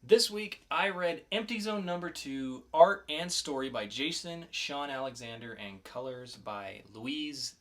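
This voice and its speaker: A man's voice: fairly high pitch, lively intonation, a little slow, few pauses, fairly bright tone. A man co-hosting a podcast, talking informally in a casual review chat. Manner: informal, conversational. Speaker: podcast co-host reviewing comics.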